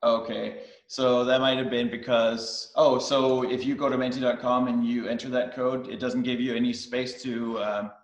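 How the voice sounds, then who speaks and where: A man's voice: mid-range voice, flat delivery. Danish-born middle-aged man on a video call. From Denmark. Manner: casual, halting, many fillers and restarts. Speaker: guest speaker. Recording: roomy echo on a video call.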